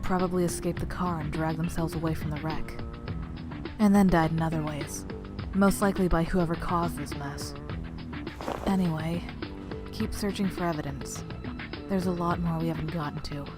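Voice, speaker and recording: A sharp-tongued young female investigator acted by a woman, low-pitched and deadpan. She is blunt and dry in scripted drama dialogue, with music clearly behind her. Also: normal speed, natural voice. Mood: matter-of-fact.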